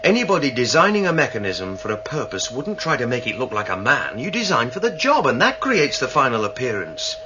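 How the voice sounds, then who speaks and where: A practical starship crewman who doubts everything and is easily exasperated. His delivery swings in pitch through old sci-fi radio drama dialogue, on a dull, noisy recording. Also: huge pitch swings, fairly quick, hardly any pauses. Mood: sceptical, exasperated. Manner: dismissive, matter-of-fact.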